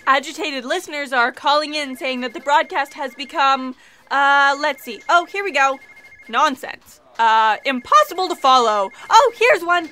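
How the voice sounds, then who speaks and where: A scatterbrained office fact-checker, eager to please and easily flustered. The voice is very high and bright, in the style of a comic sci-fi radio play. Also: female voice, lively intonation, normal speed, a little hiss or hum. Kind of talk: comic sci-fi audio theatre acting.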